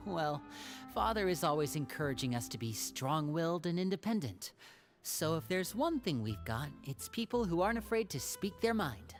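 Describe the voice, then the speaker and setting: An actor playing a charming young male magician who is a protective older brother, speaking smoothly and coaxing answers out of his sibling in a video game. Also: on the high side, sing-song, normal speed, soft background music. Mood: friendly. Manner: smooth, coaxing, gently probing.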